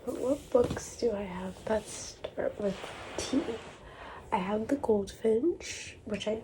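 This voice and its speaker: A woman's voice: a little high, slow pace. Congested woman chatting about books, noisy. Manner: rambling, filler-heavy, thinking aloud. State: sick and congested. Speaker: book vlogger. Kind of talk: casual chatty vlog. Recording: steady background noise.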